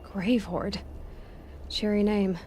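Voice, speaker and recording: A woman's voice: medium pitch, level tone, takes it slowly, darkish tone, a little hiss or hum, lightly acted. An actress playing a stubborn young woman adventurer in a video game, with a frustrated, sardonic and determined way of talking. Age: young adult. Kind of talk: naturalistic video game acting. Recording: music behind the voice.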